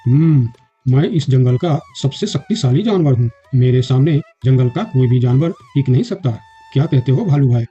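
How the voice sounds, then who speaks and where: A man's voice: medium pitch, lively intonation, moderate pace, warm tone, slightly rough. A man voicing a proud, short-tempered lion king in a children's cartoon, in a dull recording with music. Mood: boastful. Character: proud, domineering lion king, quick to anger.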